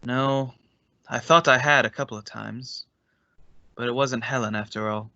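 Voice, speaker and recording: An actor plays an unhinged man of old radio suspense, bright-edged and ominously understated. He speaks with measured, sardonic menace in a dry, slightly dull recording. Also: medium pitch, steady tone, no room sound. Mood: quietly menacing. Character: unhinged escaped madman, quietly menacing old acquaintance.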